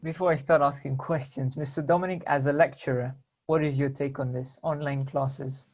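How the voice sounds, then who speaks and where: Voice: mid-range voice, steady tone, normal speed, darkish tone. A panel moderator asking brief questions and passing the floor to speakers.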